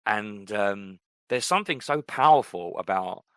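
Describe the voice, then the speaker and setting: A man's voice: fairly low pitch, lively intonation, measured pace, a bit bright, clear, resonant tone, dry recording. A man in his thirties co-hosting a casual podcast, talking in a reflective, rambling way with many fillers and restarts. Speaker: podcast co-host. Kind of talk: casual chat.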